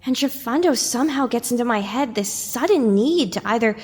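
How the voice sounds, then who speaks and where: A woman's voice: on the high side, expressive, brisk, a little mellow, husky. A woman voicing a young, self-conscious little pony filly with wry, exasperated muttering in an audio drama, with echoing reverb. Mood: bemused.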